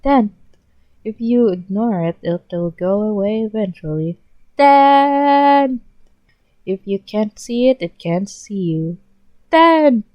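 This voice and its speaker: A woman voicing a loud, devoted, excitable teenage boy sidekick in a storybook reading, on a muffled home recording. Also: medium pitch, takes it slowly, no echo. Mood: frantic shrieking. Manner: loud, whining, excitable calling out.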